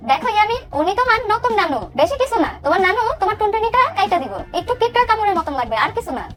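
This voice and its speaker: A woman's voice: very high voice, very animated, rapid-fire, music in the background. A woman voicing a bossy, coaxing mother of a small boy in a cartoon, urgent and scolding. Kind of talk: cartoon dialogue.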